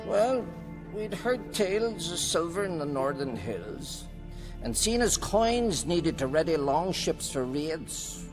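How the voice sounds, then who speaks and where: A man playing a proud, curt seafaring village leader in a video game, measured and haughty. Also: on the high side, sing-song, over music. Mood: measured, grave. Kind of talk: video game character acting.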